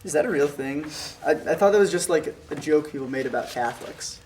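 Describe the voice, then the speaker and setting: Male actor as blunt young college guy, higher-pitched voice, joking scripted banter, faintly noisy room. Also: lively intonation, a little fast, darkish tone, close to an everyday voice. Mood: sarcastic. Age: young adult. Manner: joking, slangy. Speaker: actor at a script table read. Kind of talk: casual dialogue read aloud from a script.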